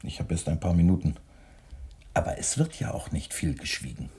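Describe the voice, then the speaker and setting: Casting: younger editor, weary and deadpan, lowish husky voice, quietly defiant, radio drama. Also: male voice, brisk. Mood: quiet, confessional. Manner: laconic, deadpan. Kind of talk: German radio play acting.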